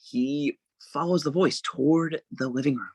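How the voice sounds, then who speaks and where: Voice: mid-range voice, moderate pace, darkish tone, breathy edge, no echo. A narrator reading screenplay stage directions aloud for a table read in a plain, descriptive manner.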